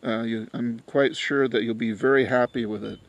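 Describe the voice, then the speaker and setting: A man's voice: mid-range voice, steady tone, moderate pace, muffled recording. A man hosting an informal hands-on product video, talking casually and a little crudely. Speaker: host of product unboxing videos. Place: small metal trailer, then outdoors in snowy yard.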